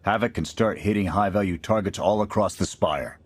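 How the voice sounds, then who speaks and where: An actor playing a seasoned male special forces major in a game cutscene, giving clipped military briefings with a husky voice. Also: lowish voice, normal speed, no room sound. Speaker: military squad commander. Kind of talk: video game cutscene acting.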